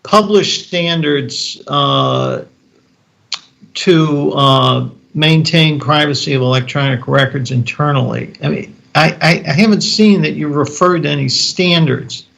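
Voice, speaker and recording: A man on a board committee, asking pointed questions at a meeting in a halting, restarting way. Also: fairly high pitch, vocal fry, fairly bright tone.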